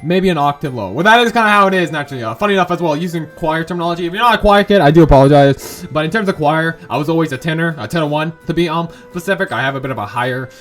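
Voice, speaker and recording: A hoarse young man with a sore throat reading a visual novel game aloud and breaking off into casual, rambling asides. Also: fairly high pitch, very fast, a bit bright, faint music behind. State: hoarse from a sore throat, voice lower than usual. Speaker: let's play host.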